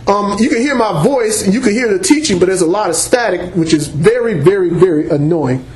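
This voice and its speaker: A man preaching and teaching the Bible to a congregation without a microphone, speaking loudly and emphatically and breaking in with exclamations of praise. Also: high-pitched, lively intonation, a little fast. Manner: loud and emphatic, with call-and-response exclamations like praise and amen. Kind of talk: sermon-style teaching to a congregation. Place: church meeting room before a congregation. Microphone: unamplified voice picked up by a room mic. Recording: echoey room recording.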